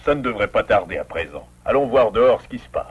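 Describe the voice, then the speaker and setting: A man's voice: on the low side, sing-song, fast, breathy edge, lo-fi sound. A man voicing a self-assured, learned adult beaver in a French cartoon, articulate, didactic and reassuring. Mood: calm, welcoming. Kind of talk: French animated series dubbing.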